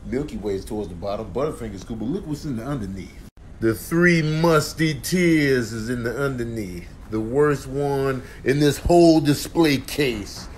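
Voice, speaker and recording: A man's voice: medium pitch, expressive, normal speed, a little mellow. Man, comic commentary, slangy repetitive phrasing, very varied intonation, some background noise. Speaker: comedian doing voiceovers. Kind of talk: comic commentary on short clips.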